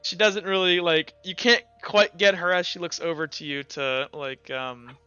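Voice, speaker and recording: A man improvising casual tabletop narration as game master, in a halting, informal way. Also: sits high, fairly even intonation, sharp tone, clear, resonant tone, no room sound, muffled recording, faint music behind.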